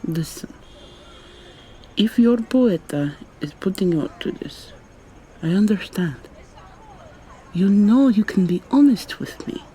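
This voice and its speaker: Mellow, soft-spoken young vampire student , woman acting. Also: medium pitch, animated, slow pace, pauses often, husky, low-quality recording. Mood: probing, earnest. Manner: soft-spoken, articulate, questioning. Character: articulate, probing young female vampire student. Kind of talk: ASMR roleplay, intimate conversational acting.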